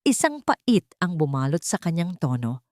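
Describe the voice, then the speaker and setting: Low-voiced woman narrating a drama. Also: normal speed, no echo, studio quality. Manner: steady dramatic storytelling. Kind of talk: scripted story narration.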